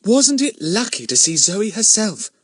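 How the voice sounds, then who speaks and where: A man's voice: sits high, sing-song, unhurried, fairly bright tone, no echo, studio quality, voice pushed up, heavily acted. A man voicing an earnest, kind-hearted schoolgirl in a children's audiobook, with a worrying manner.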